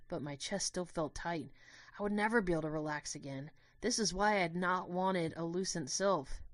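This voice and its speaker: A woman giving an earnest, expressive first-person narration of a dramatic story. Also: sits low, no echo.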